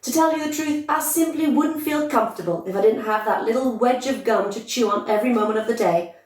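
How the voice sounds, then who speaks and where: A woman voicing a brash, boastful, bossy young girl in a storybook, with loud, emphatic, excitable chatter. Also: on the high side, brisk, pitched above the performer's own voice, lightly acted. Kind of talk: storybook character voice. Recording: echoey room, distant microphone.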